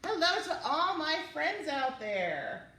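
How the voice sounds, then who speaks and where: A woman's voice: high-pitched, sing-song, slow, pushed and bright. A woman presenting story time for young children, reading aloud and chatting in a singsong, encouraging way. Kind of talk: children's read-aloud and chat to young viewers. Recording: roomy, distant mic, faint background noise.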